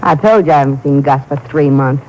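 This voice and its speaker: A woman's voice: rumbling low, a little fast, a little mellow. A woman playing a defiant small-time crook in a radio drama, curt, slangy and hard-boiled. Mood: evasive. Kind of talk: 1950s radio drama acting. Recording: slightly noisy old radio recording.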